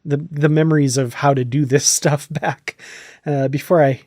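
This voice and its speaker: A man's voice: a little high, fairly even intonation, moderate pace, a little mellow, no room sound, studio quality. A man hosting a podcast in a casual, chatty, enthusiastic way. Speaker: podcast host.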